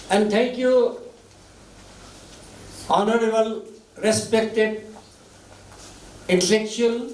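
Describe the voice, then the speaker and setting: Slow, moved man. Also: darkish tone, reverberant, distant mic, slight background noise, rough recording. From Nepal. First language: Nepal Bhasha. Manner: slow halting delivery in short phrases, deeply moved. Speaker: author giving thanks at a book launch. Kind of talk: emotional formal speech. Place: event hall.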